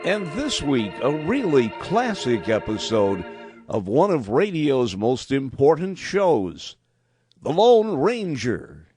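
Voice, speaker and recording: A man's voice: medium pitch, sing-song, slow. A man presenting in a scripted radio host style. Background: music.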